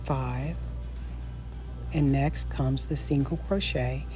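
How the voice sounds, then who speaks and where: A woman's voice: very deep voice, steady tone, takes it slowly, a little mellow, faint music behind. A woman who is losing her voice, patiently teaching a crochet pattern step by step, repeating each step and counting stitches aloud. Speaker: crochet instructor. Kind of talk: step-by-step tutorial.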